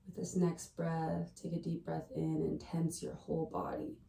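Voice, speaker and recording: A woman leading a guided meditation in a gentle, hushed, soothing way, with unhurried pauses between phrases. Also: low voice, flat delivery, gravelly. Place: indoors at a family home. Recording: echoey room, distant from the mic.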